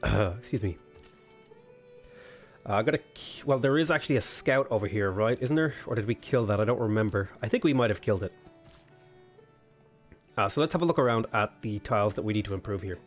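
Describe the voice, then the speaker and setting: A man's voice: fairly low pitch, expressive, fairly quick, pauses often, soft background music. A man with a sniffly cold, casually commentating a strategy game while he thinks aloud, weighing choices with plenty of ums. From Ireland. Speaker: let's play host.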